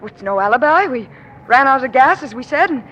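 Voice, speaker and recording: Lively, bright young society daughter. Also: female voice, high voice, fairly quick. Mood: flustered, defensive. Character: flustered, indignant young society daughter with an alibi. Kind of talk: 1940s radio mystery acting. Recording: noisy vintage 1940s radio recording.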